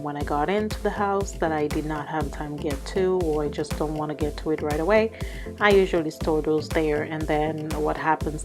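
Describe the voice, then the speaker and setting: Female vlogger, low register, a touch hoarse with a cold, chatty step-by-step household narration over music. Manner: conversational, explanatory. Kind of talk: casual cleaning vlog narration. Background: background music.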